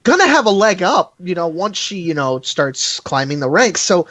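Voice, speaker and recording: A podcast co-host in a casual, animated and argumentative fan discussion. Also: medium pitch, moderate pace, dry recording.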